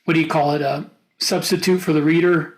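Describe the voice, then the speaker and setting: A man with a notably high voice talks casually as a podcast co-host. He gives firm opinions on what he has read. His phrases often halt and restart as he works out the thought. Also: steady tone, poor audio. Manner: opinionated, halting mid-thought. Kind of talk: casual book discussion.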